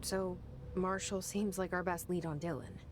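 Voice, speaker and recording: An actress voicing a guarded young woman's inner thoughts in a video game, hushed, intimate and wary. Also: fairly even intonation, moderate pace, close to an everyday voice. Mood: wary, intense. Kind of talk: video game internal monologue. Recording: rumbling background noise.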